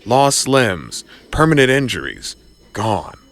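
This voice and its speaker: Guy as encouraging science prof, slow careful lecturing, audio comic. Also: mid-range voice, big pitch swings, a bit bright, full character voice. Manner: lecturing, deliberate. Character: measured, encouraging male science professor.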